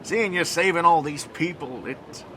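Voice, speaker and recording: A man's voice: fairly high pitch, a little slow, background noise, natural voice. An actor playing an affectionate older American naval officer, a folksy, exuberant father in an audio drama. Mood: proud, tender. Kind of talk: cinematic audio drama acting.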